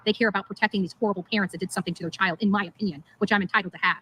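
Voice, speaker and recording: Woman on a livestream ranting quick and indignant, keeps repeating herself, noisy audio. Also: medium pitch, steady tone, bright, forceful tone. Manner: emphatic, repetitive, indignant. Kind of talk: live stream monologue, venting.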